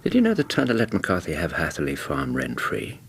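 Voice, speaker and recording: Courteous English physician for radio play: low, very expressive intonation, reassuring bedside manner, tactful questions. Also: male voice, brisk, vocal fry, slightly rough, full character voice. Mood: conversational, reporting. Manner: courteous, reassuring, gently probing. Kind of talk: radio drama acting.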